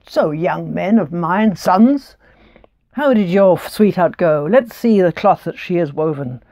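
A woman voicing a steady, old-fashioned father in a told folk tale, measured and a bit formal. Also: on the low side, no echo. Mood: approving.

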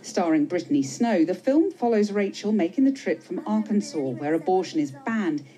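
A woman presenting the news and interviewing a guest with crisp, probing questions in a TV studio. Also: on the low side, expressive, normal speed, warm tone, faint music behind, low-quality recording.

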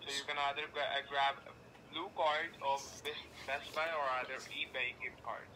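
A man working as a phone agent, giving repetitive, halting instructions, heard through a speakerphone. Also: on the high side, fairly even intonation. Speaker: call centre phone agent. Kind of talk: phone customer service conversation. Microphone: phone speaker picked up by room camera. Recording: noisy phone call played over speakerphone.

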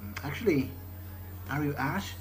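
A man giving in-character playthrough commentary on a game, talking conversationally in halting, hesitant phrases. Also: a little high, background noise. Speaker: gamer role-playing his character. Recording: game music behind the voice. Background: game music.

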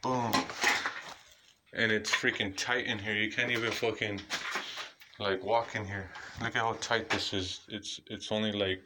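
A man casually narrating a walkthrough of a dark abandoned building, rambling and repeating himself as he points things out, filmed on a handheld phone. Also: fairly low pitch, flat delivery, a little slow, heavy background noise. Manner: rambling, repetitive pointing out spots. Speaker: urban explorer vlogger. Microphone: handheld phone camera.

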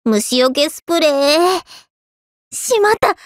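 A woman voicing an easygoing, affectionate teenage schoolgirl in an anime game, with a caring, gently teasing manner. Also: high voice, lively intonation, slow, no room sound, clean studio sound. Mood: teasing, playful. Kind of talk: scripted anime game voice lines.

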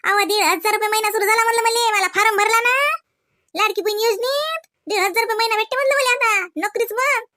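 A woman voicing gossipy, excitable village housewives in a comedy cartoon, exclaiming and teasing in rustic Varhadi Marathi. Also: very high voice, brisk. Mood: boastful. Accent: Varhadi Marathi from Vidarbha. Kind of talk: colloquial comic cartoon dialogue.

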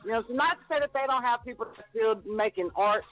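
A man with a high voice co-hosts a radio show, ranting bluntly and swearing freely. His voice sounds tinny and narrow, like a phone line, and faint music runs underneath. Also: fast. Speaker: radio co-host. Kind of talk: casual radio talk.

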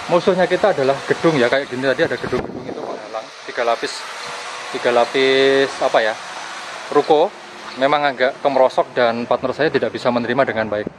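A young man, explaining things casually and conversationally to camera as a gadget reviewer. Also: medium pitch. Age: young adult. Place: outdoors in a street and park. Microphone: handheld camera at arm's length. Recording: clear outdoor street and vehicle noise.

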